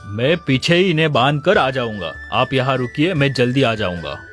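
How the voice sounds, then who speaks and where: A man playing a smooth-talking, falsely innocent sheep thief in an animated village story. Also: medium pitch, moderate pace, natural voice. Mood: glib, friendly. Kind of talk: Hindi animated moral story dialogue. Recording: music under the voice.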